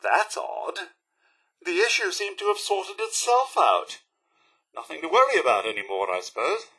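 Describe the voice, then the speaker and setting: A man's voice: high-pitched, wildly swooping pitch, moderate pace, sharp tone, breathy edge, full character voice. A man playing an anxious, polite robot butler in a video game, with a British accent, a robotic effect and a fussy, melodramatic, formal manner. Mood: relieved, composed. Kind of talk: video game character voice lines.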